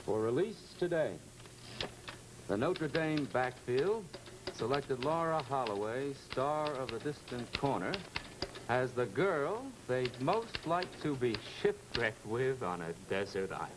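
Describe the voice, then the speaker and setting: An actor playing a man deliberately dictating a press release as he types, in an old radio drama. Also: medium pitch, lively intonation, natural voice. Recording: noisy vintage radio, typewriter clacking.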